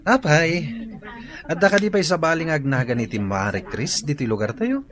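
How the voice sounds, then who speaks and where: Leering village man, Ilocano accent, jolly, teasing, very lively intonation, comedy radio drama. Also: a little high, normal speed.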